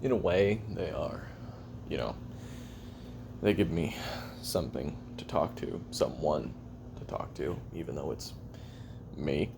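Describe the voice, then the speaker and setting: A man playing a weary, lonely, bitter assassin recording a halting, confessional audio-drama memoir in a gravelly voice. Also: very deep voice, lively intonation, background noise, heavily acted. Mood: weary, reflective. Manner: halting, brooding, self-deprecating, trailing off. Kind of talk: confessional audio roleplay monologue.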